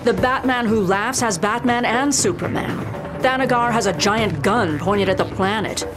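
A woman plays a tough, no-nonsense warrior from a video game. The voice is medium in pitch, blunt and serious, with music underneath. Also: moderate pace, lightly acted. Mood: grim. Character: tough, pragmatic winged warrior heroine. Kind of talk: video game hero acting.

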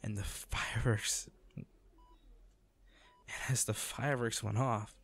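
A man playing an injured, devoted boyfriend in an intimate audio roleplay, speaking tenderly, earnestly and haltingly. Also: on the low side, steady tone, fairly bright tone, slightly rough, heavily acted. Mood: wistful, tender. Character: injured, devoted boyfriend proposing from a hospital bed.

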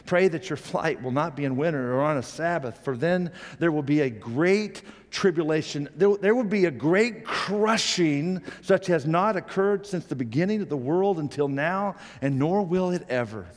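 A middle-aged man preaching a sermon from a church stage on a headset mic, animated, swinging from loud emphatic peaks to quiet low asides. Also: normal speed, few pauses, in a large hall. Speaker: pastor.

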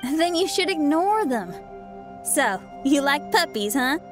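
A woman's voice: sits high, very animated, slow pace, music in the background, full character voice. An actress playing a spirited young woman musician in a video game, colloquial, self-assured and encouraging.

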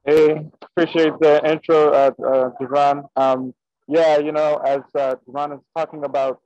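A man's voice: a little high, dry recording. Young man from the Bahamas on a dull call. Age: young adult. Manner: relaxed, frequent fillers. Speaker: guest musician. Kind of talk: casual talk on a video call. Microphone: video call. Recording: dry, dull lo-fi call audio.

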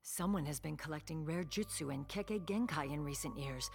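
A woman voicing a stern, commanding middle-aged village leader in an anime dub, authoritative and measured. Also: low-pitched, gravelly. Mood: grave.

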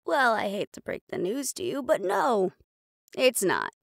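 A woman's voice: mid-range voice, huge pitch swings, a little slow, vocal fry, no echo, studio quality, heavily acted. A woman playing a tough, threatening young supernatural woman in an audio roleplay, blunt and sardonic. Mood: curt, probing.

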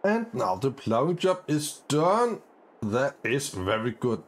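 Upbeat, slow-talking gamer man. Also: medium pitch. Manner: upbeat, easily excited, many fillers. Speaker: gaming channel host. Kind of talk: casual let's-play commentary.

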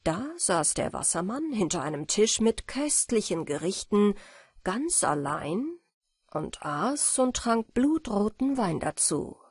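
Audiobook storyteller: woman, mid-pitched, breathy, dry studio-like sound, expressive fairy-tale reading. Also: slow pace, dry recording. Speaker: audiobook narrator.

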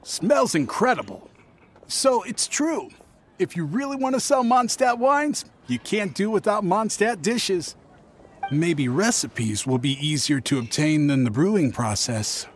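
Shifty, slow-talking young merchant. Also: male voice, high-pitched, very animated, a little hiss or hum. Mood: casual interest. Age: young adult. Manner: hesitant, stammering, evasive. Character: shifty, nervous young male traveling merchant. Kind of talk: scripted fantasy game voice acting.

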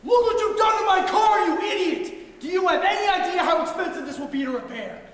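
Man acting a furious high-pitched bully. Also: a little fast, a faint crowd. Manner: loud, indignant, demanding. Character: furious, bullying car owner. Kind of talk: amateur stage comedy acting. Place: on stage in a theater. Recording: very echoey theater hall from far off.

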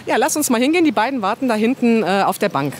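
A woman's voice: fairly high pitch, big pitch swings, fast. A woman brand manager in casual, welcoming conversation. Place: outdoors by a cemetery. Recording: clear outdoor background noise.

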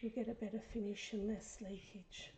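Croaky woman, chatty crafter. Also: medium pitch, level tone, normal speed, dark tone, slight background noise. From Australia. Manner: chatty, often drops to a whisper while working. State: hoarse, recovering from illness. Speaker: craft vlogger. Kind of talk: casual craft tutorial narration. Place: craft desk indoors, fan running.

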